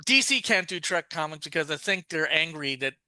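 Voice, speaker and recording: A man's voice: on the high side, animated, brisk, pushed and bright, dry recording, clean studio sound. A man co-hosting a podcast, chatting casually and rambling through thoughts with many restarts and asides. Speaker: podcast co-host. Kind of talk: casual discussion.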